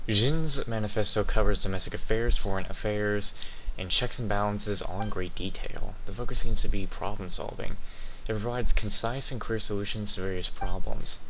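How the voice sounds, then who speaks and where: Casting: male gaming streamer, lowish bright-edged voice, casually narrating and reacting to a playthrough. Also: normal speed, a little hiss or hum, rough recording. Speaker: video game streamer. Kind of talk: reading game text aloud with casual commentary.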